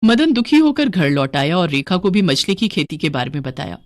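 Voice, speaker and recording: A woman's voice: lowish voice, expressive, normal speed. A woman narrating a scripted moral story as a storyteller.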